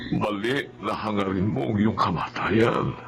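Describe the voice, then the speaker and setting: Gravelly, caring Filipino father. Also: lowish voice, unhurried, slight background noise. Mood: pleading, consoling. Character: caring, pious wealthy father, gently pleading. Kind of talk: Filipino TV drama acting.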